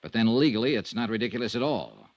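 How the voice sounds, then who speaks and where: A man playing a brisk, dogged, wry insurance investigator in a 1950s radio detective drama. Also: mid-range voice, animated, no room sound, lo-fi sound, close to an everyday voice. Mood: pointed. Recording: old radio drama recording.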